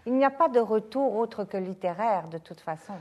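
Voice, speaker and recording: A woman hosting a literary interview, speaking in a measured, attentive way as she prompts her guest. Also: mid-range voice, lively intonation, darkish tone. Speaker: interviewer, host.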